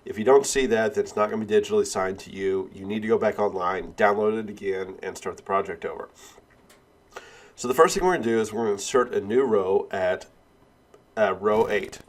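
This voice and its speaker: Male instructor, lowish voice, stuffy with a cold, walks through each step, fillers like "uh". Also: fairly quick. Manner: step-by-step, with frequent "uh" and "all right". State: has a cold, sniffling. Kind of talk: screen-recorded software tutorial.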